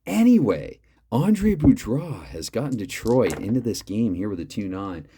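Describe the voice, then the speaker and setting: Deep-voiced man calling a tabletop hockey replay. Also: very animated, unhurried, a little hiss or hum. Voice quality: loud voice, holding back. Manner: chatty, thinks aloud over the dice math. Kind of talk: mock play-by-play commentary between dice rolls.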